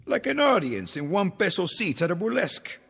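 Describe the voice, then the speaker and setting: An actor playing a paranoid, grandiose revolutionary leader in a mid-century television drama. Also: male voice, sits high, sing-song, fairly quick, creaky, fairly bright tone, husky, heavily acted. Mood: scornful. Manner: grandiose, theatrical, commanding. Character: paranoid, imperious male revolutionary leader turned ruler. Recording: old TV soundtrack, reverberant, music behind.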